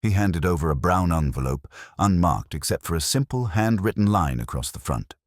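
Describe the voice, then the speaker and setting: Super low husky guy narrating a mystery story, lively up and down, dry close sound. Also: moderate pace, no room sound. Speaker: audiobook-style narrator.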